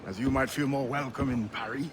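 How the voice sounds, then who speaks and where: An actor playing a thoughtful, dignified pirate quartermaster in a video game, measured and grave. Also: male voice, mid-range voice, steady tone, natural voice. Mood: dry, firm. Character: dignified, thoughtful Trinidad-born pirate quartermaster, formerly enslaved. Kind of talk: video game cutscene acting. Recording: loud sea and ship noise.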